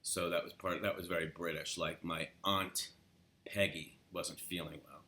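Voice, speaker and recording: A deep-voiced middle-aged man talks casually to the camera. He rambles a little, drops in fillers, and wanders through his points at an easy pace. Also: fairly even intonation, moderate pace, pushed and bright. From New York. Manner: rambling, filler-heavy, acts out quoted LA speech with rising upswing. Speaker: accent coach. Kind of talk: casual instructional talk to camera.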